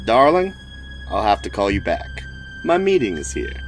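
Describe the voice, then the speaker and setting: A man's voice: lowish voice, sing-song, normal speed, soft background music. Man playing a theatrical, wildly swooping mayor. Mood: doting, coaxing. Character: smooth-talking, weird male city mayor. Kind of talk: audio drama dialogue.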